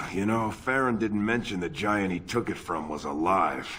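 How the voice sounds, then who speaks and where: An actor playing a gruff, surly dwarf collector in a fantasy game, brusque and sardonic. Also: male voice, low-pitched, a little slow, a bit bright, slight background noise. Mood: grudging.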